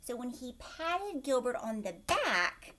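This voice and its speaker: A woman reads a children's story in a high, very animated voice. She takes her time, stepping aside from the text to explain and put questions to young listeners. She sounds like a teacher at storytime, expressive and clear in purpose. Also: slow. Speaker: teacher reading a picture book aloud.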